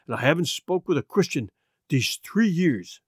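Man performing a hoarse, half-crazed marooned old pirate. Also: fairly high pitch, animated, no room sound, studio quality. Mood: plaintive. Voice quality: hoarse, rusty. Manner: rustic sailor speech, slow and drawn-out.

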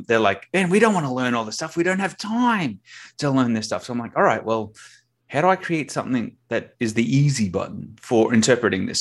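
An Australian man speaking at an ordinary, middling pitch. He chats loosely as a podcast guest, full of fillers, and acts out what other people said. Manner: chatty, many fillers, acts out quoted dialogue. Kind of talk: casual interview chat.

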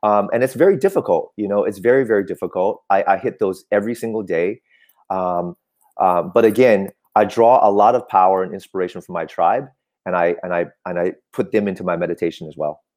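A man whose first language is Cantonese, a guest on a talk show, speaking reflectively with frequent pauses and restarts. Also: sits low, a little mellow. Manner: reflective, hesitant, with many "um" and restarts. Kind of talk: casual interview chat.

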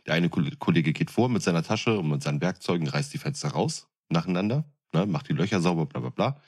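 A man's voice: low-pitched, lively intonation, fast talker, a little mellow, husky. A man in his thirties chatting casually as a podcast co-host, with a blunt, colloquial way of talking. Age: 30s. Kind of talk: casual chat.

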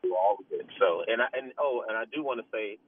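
A man's voice: lowish voice, quick pace, husky. Tinny phone caller, older American man. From Pittsburgh, USA. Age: middle-aged or older. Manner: rambling, peppered with "you know". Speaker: caller to a sports radio show. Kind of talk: casual football talk. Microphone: telephone. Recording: tinny, noisy phone line.

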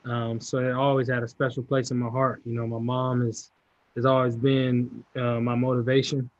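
A young man from California, a football player answering interview questions in a relaxed, laid-back way. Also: medium pitch, normal speed, dry recording, lo-fi sound. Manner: relaxed, laid-back, repeats short agreements.

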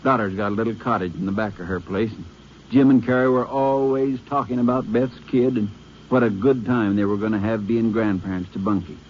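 Gentle country sheriff, folksy, lowish voice, played by a man, muffled radio sound. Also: fairly even intonation, brisk, a little hiss or hum, natural voice. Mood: somber. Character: kindly folksy male country sheriff, middle-aged. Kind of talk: 1950s radio drama acting. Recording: dull lo-fi old radio recording.

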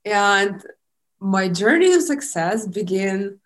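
A woman's voice: mid-range voice, animated, slow. A woman from eastern Ukraine, a native Russian speaker with a Russian accent, talking casually as a podcast guest. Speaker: podcast guest, blogger and model. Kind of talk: casual interview talk.

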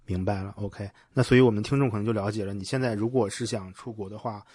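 A man's voice: fairly low pitch, fairly even intonation, quick pace, mellow, slightly rough, no echo. Man hosting a podcast, dry close sound. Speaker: podcast host, interviewer. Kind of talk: casual conversational interviewing.

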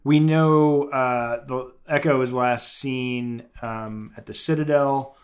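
Mid-pitched man, hesitant casual podcaster. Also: fairly even intonation, takes it slowly. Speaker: podcast co-host. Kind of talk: casual fan review chat.

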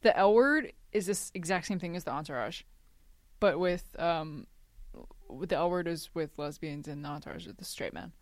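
Female podcast co-host, playful and animated, swooping intonation, close dry sound. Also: low-pitched, moderate pace, no room sound. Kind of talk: casual comedic banter.

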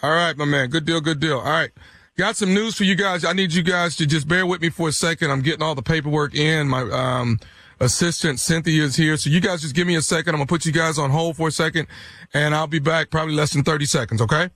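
A man's voice: fairly high pitch, fast talker, fairly bright tone, dry recording. A man playing an apologetic office manager who has to break bad news, hesitant and stammering. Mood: businesslike. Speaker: comedian doing prank phone calls.

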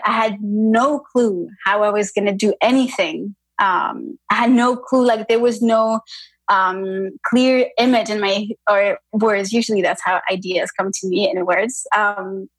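A woman's voice: medium pitch, slightly rough, dry recording, poor audio. A young woman with an accent mixing French and Spanish, a yoga teacher talking thoughtfully as a podcast guest, with many fillers and restarts. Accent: French and Spanish influenced. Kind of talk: casual interview chat.

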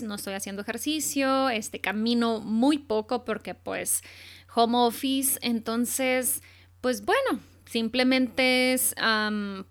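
Woman podcaster, smooth and higher-pitched, chatty and animated, fills her talk with small restarts. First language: Spanish. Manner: chatty, animated, with fillers and self-corrections. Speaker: podcast host. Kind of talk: casual monologue.

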